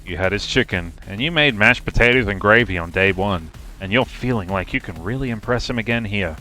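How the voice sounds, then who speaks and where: A man's voice: on the low side, fairly quick, sharp tone, faint music behind. A man reading game narration aloud on a livestream in a playful, theatrical storytelling manner.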